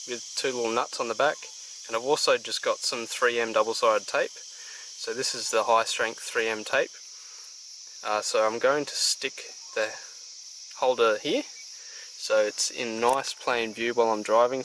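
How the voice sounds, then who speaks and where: A man, casually explaining a radio install step by step while working, with relaxed pauses. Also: on the low side, a little hiss or hum, low-quality recording. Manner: relaxed, plain-spoken, frequent "uh" pauses. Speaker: DIY car install presenter. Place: outdoors beside and inside a vehicle.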